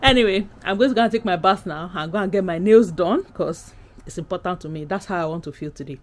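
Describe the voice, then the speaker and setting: A woman's voice: fairly low pitch, fast talker. A woman in her thirties from Nigeria with a Nigerian accent, talking casually to camera as a vlogger in a candid, emphatic, conversational way. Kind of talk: casual chatty vlog to camera. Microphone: camera at arm's length.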